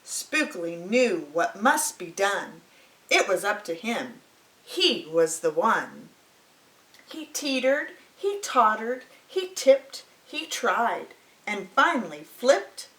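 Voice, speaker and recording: A woman reads a picture book aloud for children with very lively, swooping intonation. She takes her time, drawing out words in a dramatic storyteller's way. The room around her voice is clearly echoey. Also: medium pitch, slow pace.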